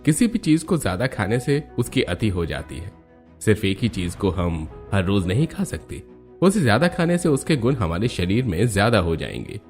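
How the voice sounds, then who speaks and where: An actor playing a patient male doctor in a Hindi cartoon, explaining calmly. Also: on the low side, normal speed, faint music behind. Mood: advising. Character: male doctor, calm and advising.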